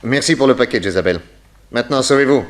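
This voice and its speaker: An actor playing a shrewd, courteous detective, speaking in an assured, formal and articulate way in old French radio drama style. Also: male voice, mid-range voice, expressive, fast, close to an everyday voice.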